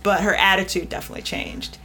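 A woman's voice: medium pitch, little pitch movement, quick pace, pushed and bright, faint music behind. A woman from New York, a guest editor talking directly in a casual podcast chat and laughing often as she speaks.

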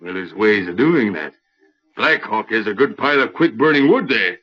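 An actor playing a scheming, menacing Western gang boss with bullying, folksy cowboy talk in old radio acting style. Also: male voice, lowish voice, animated, moderate pace. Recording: dull old-time radio recording.

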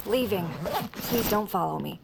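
An actress playing a guarded, hurt young woman in an audio drama, speaking naturally in halting, exasperated phrases. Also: medium pitch, expressive, gravelly, noisy background. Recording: loud music bed under voice.

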